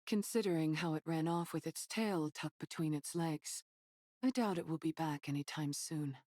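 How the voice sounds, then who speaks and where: Low-voiced, wry game heroine. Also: female voice, moderate pace, a little mellow, no room sound, studio quality. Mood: amused, confident. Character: composed, playfully amused young female fighter. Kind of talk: English game cutscene dub acting.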